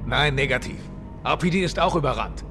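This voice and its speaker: A man's voice: medium pitch, animated, fairly quick, over music, close to an everyday voice. An actor playing a brash, wisecracking male mercenary soldier in a German audio drama. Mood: tough, determined.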